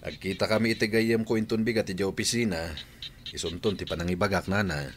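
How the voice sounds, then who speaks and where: A man's voice: on the low side, steady tone, fast, a little hiss or hum, close to an everyday voice. A man with an Ilocano accent playing a stern but reasonable father in a radio drama. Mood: helpful, polite.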